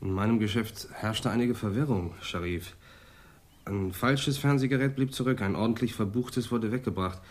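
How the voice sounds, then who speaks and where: A man's voice: lowish voice, moderate pace, natural voice. An actor playing a deferential crook who answers to his boss, in a German radio drama. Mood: hesitant, uneasy.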